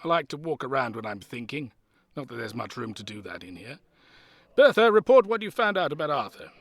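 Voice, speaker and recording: An actor playing a brisk, self-important retired soldier in an audio comedy, with a husky, emphatic delivery. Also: male voice, medium pitch, sing-song, no echo. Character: elderly ex-army man, self-important, brisk, grumbling planner. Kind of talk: audio comedy drama acting.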